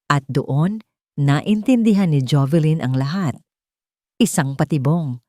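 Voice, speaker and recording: A woman's voice: low-pitched, unhurried, darkish tone, dry recording, studio quality. A woman storyteller delivering dramatic scripted narration of a love story.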